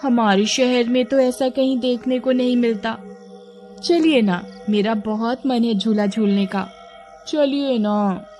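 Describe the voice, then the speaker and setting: An eager young wife from a cartoon ghost story, played by a woman, with a high, expressive voice. She speaks in coaxing, exclamatory phrases over background music. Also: takes it slowly. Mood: pleading. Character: eager young wife, playful and pleading, later terrified. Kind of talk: dramatized cartoon horror story.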